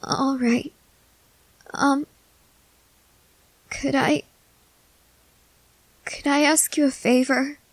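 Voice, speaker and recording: A woman playing a timid, gentle newly turned vampire in an ASMR roleplay, speaking hesitantly and haltingly. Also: high-pitched, flat delivery, no room sound, poor audio. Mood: hesitant, uneasy. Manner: hesitant, halting, soft-hearted.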